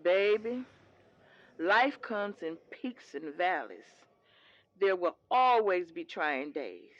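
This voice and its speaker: A woman's voice: fairly low pitch, very animated, slow, lo-fi sound. A woman playing a devout older mother in an audio drama, patient and reassuring, firm but tender, with a preachy streak. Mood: gently counseling.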